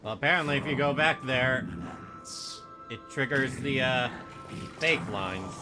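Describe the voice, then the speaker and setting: A man's voice: medium pitch, lively intonation, unhurried. A man giving casual live reactions and commentary while streaming a video game. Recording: game music behind the voice.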